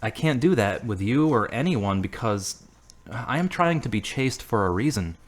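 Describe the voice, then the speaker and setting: A man playing an earnest, devout man in an amateur audio drama, speaking reflectively with gentle humor. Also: mid-range voice, expressive, moderate pace, close to an everyday voice. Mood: serious, firm.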